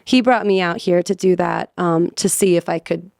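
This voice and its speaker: A woman's voice: lowish voice, moderate pace, gravelly, a little mellow, no room sound, studio quality. A woman in her thirties, a professional singer, telling stories animatedly with many fillers and frequent laughter in a podcast interview. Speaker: guest, professional vocalist. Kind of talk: casual storytelling in a podcast interview.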